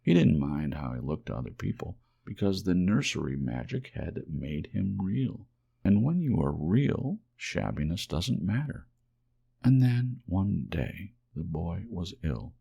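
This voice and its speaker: A man narrating a children's storybook in a gentle ASMR audiobook style. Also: low voice, big pitch swings, a little slow, gravelly, husky, highs cut off.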